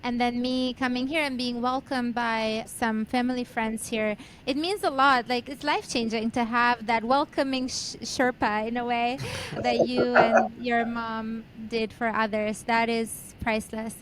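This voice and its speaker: A woman's voice: on the high side, steady tone, unhurried, crowd noise. A woman from Albania co-hosting a podcast in a casual, conversational style. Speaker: podcast co-host.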